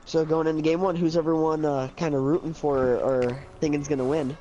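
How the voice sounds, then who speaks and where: Young dude casting, rambly, mellow voice, muffled lo-fi mic. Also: male voice, fairly high pitch, little pitch movement, fairly quick. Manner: rambling, lots of "like" and "uh". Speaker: esports caster. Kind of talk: casual chat between casters. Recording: dull lo-fi mic with faint noise.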